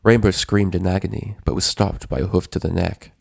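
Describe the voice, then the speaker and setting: A man reading a scripted story as narrator, in a measured, dramatic storytelling manner. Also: deep voice, fairly even intonation, creaky, breathy edge, no room sound, studio quality.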